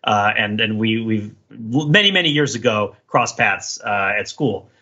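A man with a husky voice hosting a podcast, casually analyzing things in a discursive way and often checking in with a "right?". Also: lowish voice, lively intonation, measured pace, sharp tone. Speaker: podcast host. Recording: slightly dull, lo-fi sound.

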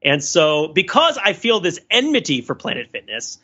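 A man, a podcast co-host talking casually and analytically, explaining his points in a wordy, halting way. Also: fairly high pitch, huge pitch swings, bright, forceful tone, husky, lo-fi sound.

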